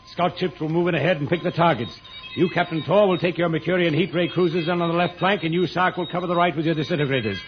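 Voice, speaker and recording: Man playing a rapid-fire, ruthless space fleet commander. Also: on the high side, soft background music, natural voice. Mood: commanding. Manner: brisk, authoritative, clipped orders. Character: commanding male space war fleet leader, ruthless and decisive. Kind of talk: old-time radio serial acting. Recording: muffled vintage radio recording.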